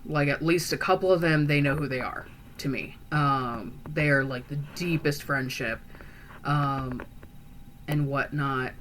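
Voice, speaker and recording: A woman's voice: sits low, measured pace, fairly bright tone, slight background noise. A woman playing a chatty, earnest young female vampire who talks in a rambling, hesitant way full of fillers and restarts. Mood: musing. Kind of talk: in-character roleplay monologue.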